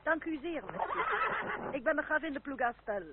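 A thin, noisy old recording carries a high-pitched noblewoman. She is an anxious, easily affronted countess in a radio drama, ladylike and formal. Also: lively intonation, brisk. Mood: gracious. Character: genteel, anxious, indignant French countess. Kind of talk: radio play acting. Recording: narrow old radio recording, clear noise.

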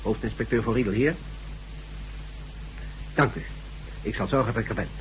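An actor playing a dutiful police chief inspector in a radio drama, firm, official and clipped. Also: male voice, fairly low pitch, normal speed, close to an everyday voice. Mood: brisk, businesslike. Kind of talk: Dutch radio drama acting. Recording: slightly noisy old radio recording.

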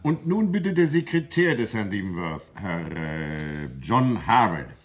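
Older coroner running an inquest, kinda low voice, official and emphatic, old german radio drama. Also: male voice, very animated, measured pace. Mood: official, insistent. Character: older coroner, official, brisk and insistent examiner. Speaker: actor in a radio crime play. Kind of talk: vintage German radio crime drama acting.

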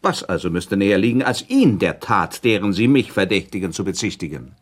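Coolly ironic accused prince, period radio drama: husky, lively range, deliberate formal delivery. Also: male voice, low voice. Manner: formal, deliberate, sardonic, questioning. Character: proud male prince accused of murder, guarded, coolly ironic. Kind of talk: German radio play acting, period dialogue.

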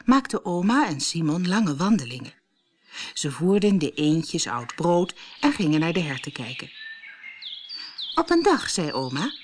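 Lively woman reading a children's story. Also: lowish voice, slight background noise. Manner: expressive storytelling, acts out dialogue. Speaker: audiobook narrator. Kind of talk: scripted children's story narration.

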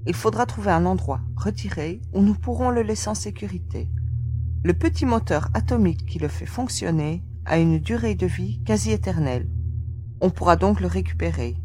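A woman's voice: fairly low pitch, lively intonation, moderate pace, natural voice. A woman playing a practical, level-headed young member of a spaceship crew in a science fiction audio drama.

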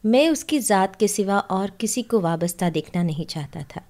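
A woman tells a literary story in the first person as a narrator. Her voice is mid-pitched and very mellow, with a soft dark warmth to the tone. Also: lively intonation, moderate pace.

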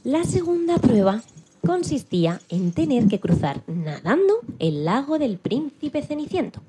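Very animated woman storyteller. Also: fairly high pitch, measured pace, husky. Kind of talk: dramatised children's story narration.

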